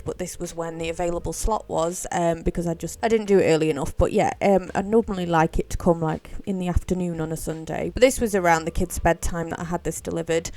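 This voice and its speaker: A woman's voice: lowish voice, a little mellow. A woman with a British accent giving a chatty, informal vlog voice-over about everyday life. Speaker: family lifestyle vlogger. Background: background music.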